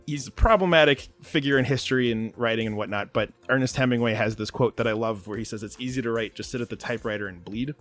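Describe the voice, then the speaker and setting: A man's voice: medium pitch, quick pace, hardly any pauses, a bit bright, soft background music. A man speaking as a podcast guest about his writing, casual, reflective and self-deprecating. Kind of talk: casual reflective interview talk.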